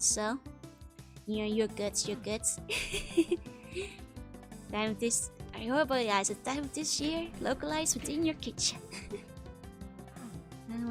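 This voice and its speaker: A young woman with a Dutch accent chatting casually while streaming a game, rambling with lots of fillers and giggles. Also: a little high. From Netherlands. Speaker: VTuber streamer. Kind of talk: casual gameplay chat reading chat.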